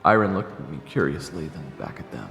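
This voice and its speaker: Snarky young male adventurer played by a man, animated audio drama storytelling with wry sarcasm, gravelly fry. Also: extremely low pitch, brisk, full character voice. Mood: wry narration. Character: sarcastic young male traveler narrating his own adventure. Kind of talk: first-person dramatic narration and dialogue. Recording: roomy echo with long reverb, music behind.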